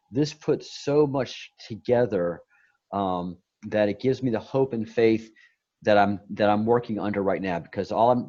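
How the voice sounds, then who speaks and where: A man's voice: fairly low pitch, a little mellow, lo-fi sound. A man talking casually and at length on a meditation call, rambling with many restarts and repeated words. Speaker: guest on a meditation talk. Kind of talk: casual spiritual chat.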